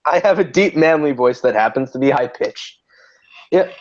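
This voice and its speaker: A man's voice: a little high, expressive, normal speed, no room sound, muffled recording. Rambling man with an androgynous voice. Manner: rambling, many restarts and fillers. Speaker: host. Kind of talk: casual livestream chat.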